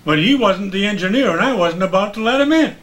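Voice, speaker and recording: A man's voice: high voice, animated, fast, fairly bright tone, a little hiss or hum. An older man telling stories in a casual chat, folksy and given to exclamations. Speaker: veteran radio announcer as guest.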